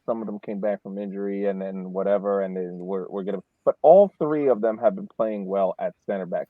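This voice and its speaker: A man co-hosting a casual sports analysis chat, halting and repeating words as he thinks, with thin, phone-like sound. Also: on the low side, dry recording. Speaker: podcast co-host.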